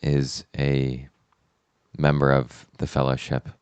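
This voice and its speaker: A man's voice: very deep voice, monotone, slow, darkish tone, smooth, no echo. A young man co-hosting a casual chat podcast, easygoing and guiding the talk, a little under the weather. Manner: easygoing, chuckling, steers the segments. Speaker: podcast co-host. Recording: dull lo-fi sound.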